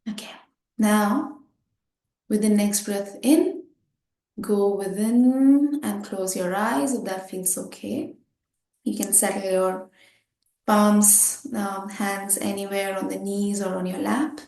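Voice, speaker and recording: A woman guiding a meditation, giving gentle instructions in short, unhurried phrases with pauses. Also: mid-range voice, fairly even intonation, creaky, echoey room. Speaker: meditation guide.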